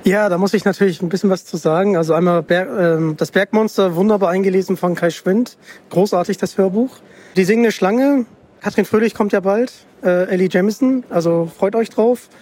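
High-voiced man hosting a podcast. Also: a little fast, a little mellow. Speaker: podcast host, interviewer. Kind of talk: casual interview hosting. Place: outdoors at a book fair.

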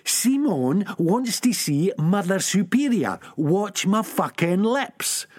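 A man's voice: high voice, very animated, moderate pace, no echo, clean studio sound, pitched above the performer's own voice. A man with a Scottish accent voicing a frantic, desperate young male junkie who snarls and swears nonstop. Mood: scornful. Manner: snarling, cursing constantly.

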